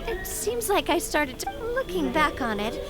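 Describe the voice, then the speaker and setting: High-pitched young woman leader, tender, sincere, halting, game acting, prominent music behind. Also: sing-song. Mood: flustered.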